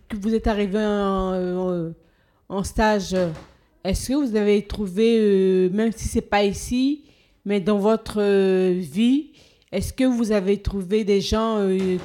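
A woman interviewer asking a question in a halting, hesitant way. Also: mid-range voice, warm tone.

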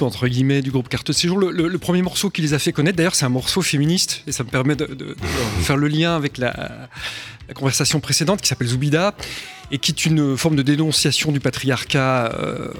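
A man's voice: fairly high pitch, fairly quick. A man who is an author, a guest on a radio interview, explaining at length and often stumbling over repeated words.

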